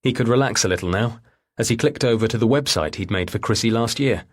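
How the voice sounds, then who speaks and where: A man narrating a thriller novel with a husky voice and measured, deliberate phrasing. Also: on the low side. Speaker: audiobook narrator.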